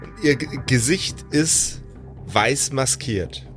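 A man narrating an improvised tabletop game as game master, measured and pausing mid-sentence. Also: mid-range voice, music in the background.

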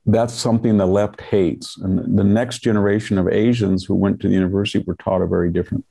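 An older man from California, stuffed up with a cold, giving measured, lecturing political commentary in a podcast conversation. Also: fairly low pitch, flat delivery, vocal fry, warm tone, slightly rough.

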